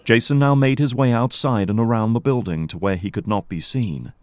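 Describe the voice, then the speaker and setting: A man's voice: mid-range voice, a little fast, darkish tone. A man narrating an audio drama in a scripted storytelling style.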